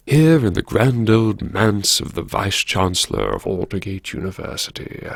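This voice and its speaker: A man performing an audiobook monologue as a wry, haunted university vice-chancellor, brooding and talking to himself in halting phrases. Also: lowish voice, big pitch swings, creaky, dry recording, clean studio sound, full character voice. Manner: brooding, wry, halting self-address with sighs. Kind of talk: dramatic first-person interior monologue.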